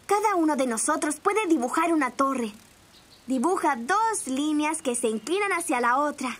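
A woman's voice: high voice, animated, normal speed, fairly bright tone. A woman with a Latin American Spanish accent voicing a cheerful, helpful young cartoon rabbit boy who gives simple drawing instructions. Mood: helpful, encouraging. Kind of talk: children's cartoon dub, step-by-step drawing instruction. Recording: music behind the voice.

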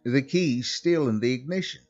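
A man's voice: medium pitch, normal speed, a little mellow, highs cut off. A man narrating a scripted first-person horror story as a storyteller. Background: background music.

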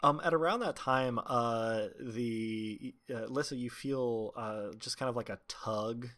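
A man narrating a tabletop game as game master, improvising with frequent pauses. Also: medium pitch, a bit bright.

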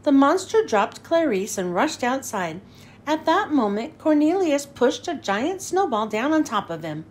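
A woman reading a children's storybook aloud in an expressive, animated, emphatic way. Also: sits high, unhurried, slight background noise. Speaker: children's book reader.

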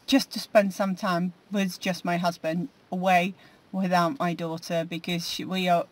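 Woman in her forties, casual vlog chat, rambling, many ums, recorded in a car. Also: on the low side. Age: 40s. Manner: rambling, many fillers and restarts. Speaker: vlogger. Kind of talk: casual food diary chat. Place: inside a parked car.

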